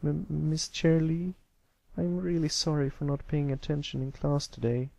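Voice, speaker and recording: A man's voice: fairly high pitch, flat delivery, normal speed, vocal fry, a little mellow, no room sound, pitched above the performer's own voice. A man voicing a timid, sad young colt pony who speaks hesitantly and stammers, in a storybook reading. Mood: nervous, apologetic.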